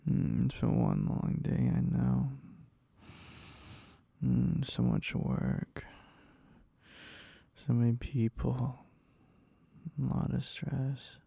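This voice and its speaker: A man playing a tender, sleepy husband in an intimate ASMR roleplay, murmuring soft, breathy, soothing words and hums close to the mic. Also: mid-range voice, big pitch swings, extremely slow, pauses often, dry recording, full character voice. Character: tender, sleepy husband comforting his wife in bed. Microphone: very close, dry microphone.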